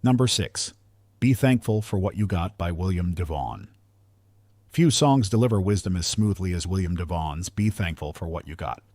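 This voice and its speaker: A man's voice: low-pitched, lively intonation, normal speed, darkish tone, dry recording. A man narrating a scripted countdown in a polished, earnest delivery, as the narrator.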